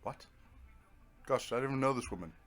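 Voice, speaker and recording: A man's voice: medium pitch, fairly quick, fairly bright tone, soft background music. A man giving casual let's-play commentary, offhand and muttering to himself as he plays. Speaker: gamer playing and narrating a video game.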